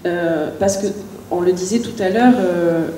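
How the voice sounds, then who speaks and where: A woman's voice: fairly low pitch, a little slow, warm tone, roomy sound, distant mic, slight background noise. A middle-aged woman, a village mayor, answering questions on a panel, hesitant with many restarts and fillers.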